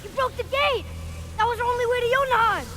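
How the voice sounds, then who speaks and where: A woman's voice: very high voice, fast, over music. A woman playing a brash, irritable young game character, snappy, dismissive and cocky. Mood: angry, shouting. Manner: snappy, defiant.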